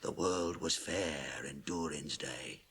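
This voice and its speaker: An actor playing a proud, solemn dwarf in a radio drama, grave and declamatory, with a gravelly, husky voice. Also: male voice, very deep voice, takes it slowly, fairly bright tone, exaggerated voice. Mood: solemn, mournful chanting. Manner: grave, declamatory, chanting old verse. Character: proud, solemn dwarf mourning his people's lost kingdom. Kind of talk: 1980s radio drama acting.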